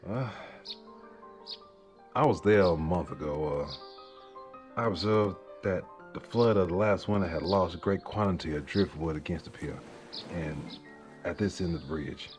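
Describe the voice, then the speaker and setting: Man voicing a low, hushed soldier on horseback. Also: a little slow, pauses often. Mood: hushed, reflective. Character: gray-clad horseman soldier, grimly reporting war news. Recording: music under the voice.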